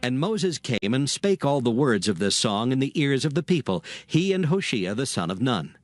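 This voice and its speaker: Male narrator, lively intonation, dry close sound, soft music bed. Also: mid-range voice, moderate pace, no room sound. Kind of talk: scripted scripture narration.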